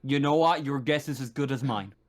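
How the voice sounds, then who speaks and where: A man's voice: mid-range voice, brisk, pitched above the performer's own voice, close to an everyday voice. A man voicing a stern, impatient space-station marshal in a tabletop game, swearing and stumbling over words in exasperation. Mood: exasperated, defensive.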